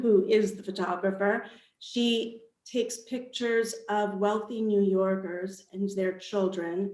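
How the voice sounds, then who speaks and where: A woman author speaking as a panel guest on a video call, thoughtfully explaining her own book in halting phrases. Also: mid-range voice. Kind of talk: panel interview answers about own book. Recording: roomy, echoey video call sound.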